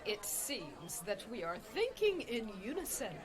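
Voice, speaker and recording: A pious, proper healer played by a woman, speaking slowly with big swoops of pitch. It is comedy radio play acting with a busy crowd behind. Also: very animated, music in the background. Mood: coy, hopeful. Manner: formal, proper. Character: devout, formal healer promoting a deity.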